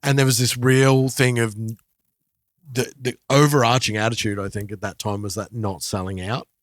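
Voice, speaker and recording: A man in his forties chatting casually as a podcast host, in a halting way that repeats words as he thinks. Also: mid-range voice, fairly even intonation, no room sound, studio quality. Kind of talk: casual chat about music and culture.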